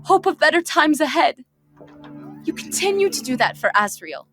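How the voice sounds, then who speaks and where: A woman's voice: high voice, very animated, moderate pace, fairly bright tone, soft background music, full character voice. A woman voicing a motherly, guilt-ridden goat monster queen with formal, heartfelt diction, in a dramatic comic dub. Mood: tender.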